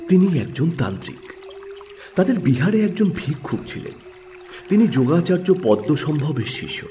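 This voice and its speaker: A man narrating a literary story in a measured, expressive, theatrical way. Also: fairly high pitch.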